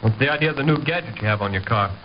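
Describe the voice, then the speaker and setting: An actor playing a brisk, alert border patrolman with a clipped, matter-of-fact manner, in old radio drama style. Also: medium pitch, lively intonation, close to an everyday voice. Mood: puzzled. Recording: dull, faintly noisy vintage radio recording.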